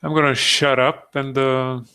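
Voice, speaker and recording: A man with a Croatian accent, a native Croatian speaker, giving a live sculpting tutorial in a halting way, full of fillers and restarts, on a close mic. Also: mid-range voice, little pitch movement, bright, forceful tone, clear, resonant tone. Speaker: digital sculpting streamer. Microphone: close desktop mic, breathing audible.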